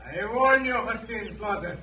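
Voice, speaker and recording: An actor playing a proud, threatening young warrior in a radio western, with stilted, formal, declamatory delivery. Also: male voice, high-pitched, animated, moderate pace, bright, forceful tone, heavily acted. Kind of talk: 1950s radio western acting. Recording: distant, echoey old radio recording, faint noise.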